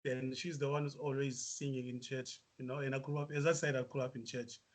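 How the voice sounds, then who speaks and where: A South African musician answering interview questions, hesitant with many fillers. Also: male voice, medium pitch, level tone, brisk, a crowd in the background. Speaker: musician guest.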